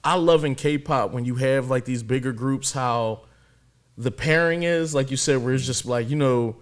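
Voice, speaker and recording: A man's voice: medium pitch, moderate pace. A man co-hosting a reaction video, chatting in a laid-back, slangy way. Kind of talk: casual reaction commentary.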